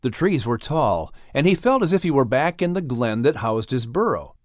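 Expressive male storybook narrator, animated pitch. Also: moderate pace, dry recording, clean studio sound. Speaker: audiobook narrator.

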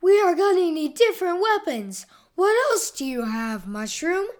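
A woman voicing a bossy, wary male donut superhero in a storybook, loud and assertive. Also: on the high side, lively intonation, slow, slight background noise. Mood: urgent.

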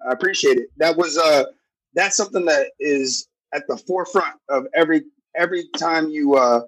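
A man with a loud, deep voice talking earnestly in a casual podcast discussion, often restarting his phrases. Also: moderate pace, husky, rough recording. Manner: earnest, restarts phrases, keeps asking "you know what I'm saying". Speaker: podcast guest.

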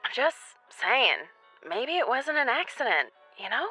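Bright-voiced, sassy, gossipy girlfriend. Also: on the high side, big pitch swings, unhurried, husky, soft background music, heavily acted, rough recording. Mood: hushed, speculative. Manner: casual, slangy. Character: sassy, gossipy, affectionate single woman friend. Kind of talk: naturalistic audio drama acting.

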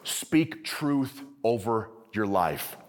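A man preaching to a live congregation, energetic and conversational, often checking in with the audience. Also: fairly low pitch, very slow. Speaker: pastor. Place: church service with audience.

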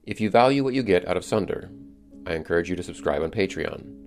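Middle-aged male podcast host, very low voice, scripted delivery, earnest, music behind. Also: lively intonation, fast talker, gravelly, darkish tone. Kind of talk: scripted narration.

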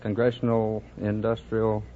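Terse young man, flat voice, old radio. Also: on the low side, a little slow. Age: young adult. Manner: terse replies. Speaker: quiz show contestant. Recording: thin, narrow old radio recording.

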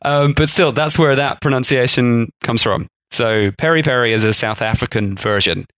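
A man co-hosting a casual food history podcast, chatty and quick to laugh at himself. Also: mid-range voice, fairly bright tone, smooth, dry recording. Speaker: podcast co-host.

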